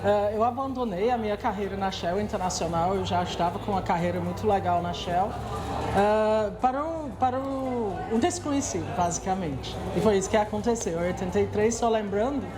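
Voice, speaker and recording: A woman's voice: mid-range voice, brisk, background noise. A woman in her sixties from England with an English accent, speaking Portuguese as a second language, answering interview questions with many hesitations and restarts. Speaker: guest executive.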